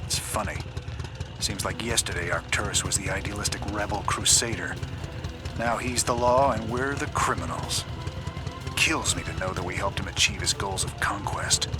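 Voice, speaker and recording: A man's voice: fairly low pitch, moderate pace, music in the background, lightly acted. An actor playing a rugged, disillusioned male rebel soldier who talks bluntly in a video game cutscene. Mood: bitter. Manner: blunt, angry, then bitter.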